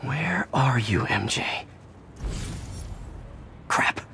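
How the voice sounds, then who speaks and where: A man's voice: mid-range voice, lively intonation, drawn-out words, vocal fry, background noise, full character voice. An actor playing a quippy, earnest young male superhero who talks to himself in wry asides.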